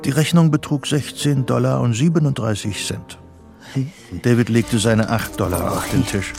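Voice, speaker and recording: An actor playing the sober narrator of a radio thriller, telling the story in a measured, detached way. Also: male voice, mid-range voice, fairly even intonation, creaky, close to an everyday voice. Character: sober third-person narrator of a psychological thriller. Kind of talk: scripted radio play narration. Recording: music under the narration. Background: music.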